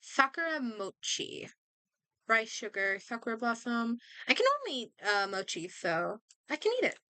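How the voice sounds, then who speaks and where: A woman streamer chatting casually and thinking aloud in an expressive way as she comments on a game. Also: a little high, moderate pace, clear, resonant tone, dry recording.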